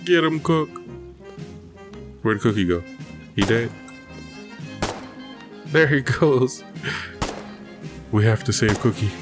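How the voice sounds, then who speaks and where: A man giving casual live gameplay commentary, playful and full of excitable exclamations to his teammates. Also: medium pitch, slow, long pauses, music in the background. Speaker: gaming video host.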